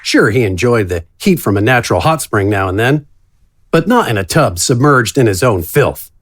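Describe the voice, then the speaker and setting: A man's voice: mid-range voice, animated, moderate pace, a bit bright, slightly rough. A man narrating a scripted fantasy story as an audiobook-style narrator.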